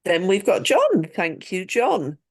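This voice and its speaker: A woman's voice: mid-range voice, wildly swooping pitch, slow. A woman hosting a casual group video call, calling on participants to speak. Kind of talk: casual moderating.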